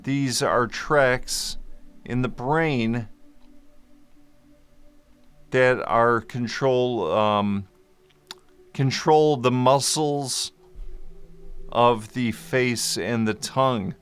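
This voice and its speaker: A man's voice: mid-range voice, drawn-out words, long pauses, fairly bright tone, clear, resonant tone, soft background music. A man vlogging to camera in a casual, easygoing way, explaining a topic and correcting himself as he goes, speaking into a microphone. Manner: easygoing, self-correcting, joking aside. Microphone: microphone in front of him.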